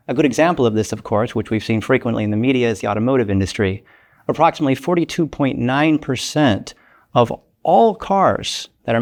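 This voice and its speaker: An older man, an economics professor, giving a measured, formal, lecture-like explanation. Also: medium pitch, creaky. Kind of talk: prepared explanatory talk.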